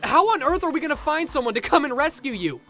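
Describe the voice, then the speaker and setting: A man playing an excitable, anxious young college student in a game fan dub. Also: very high-pitched, fast, full character voice. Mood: outraged, panicked.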